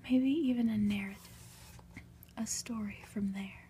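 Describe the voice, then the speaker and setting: A woman whispering softly and breathily in an ASMR style, gentle and unhurried, as a reader and host. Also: mid-range voice, slight background noise. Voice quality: breathy, mostly unvoiced whisper. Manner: soft, unhurried, gentle delivery. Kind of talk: whispered ASMR, storybook reading and soft chat.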